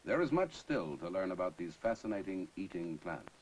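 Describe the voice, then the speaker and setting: A man acting as a grave science narrator in a 1960s sci-fi film, with formal, measured, portentous scripted delivery. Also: low-pitched, big pitch swings, darkish tone. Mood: grave, ominous. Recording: noisy early 1960s film soundtrack.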